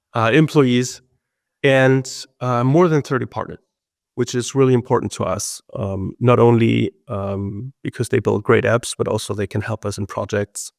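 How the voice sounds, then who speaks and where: A man's voice: lowish voice, vocal fry, no room sound, clean studio sound. A man hosting an online webinar and introducing himself and his company, speaking with frequent ums and uhs. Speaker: webinar host, company co-founder. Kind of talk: hesitant presentation with many fillers.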